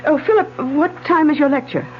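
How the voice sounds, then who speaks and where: An actress playing a refined, curious but uneasy scientist's wife in a vintage radio drama, theatrical and hesitant with emphatic repetitions. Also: female voice, sits high, brisk, lightly acted. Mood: questioning. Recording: dull radio recording with hum and hiss.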